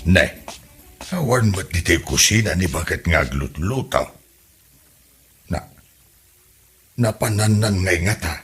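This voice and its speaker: A man with an Ilocano accent playing a grumbling, excitable old husband and driver in a comedy audio drama, exclaiming and complaining. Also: low-pitched, a little slow, pauses often, music in the background.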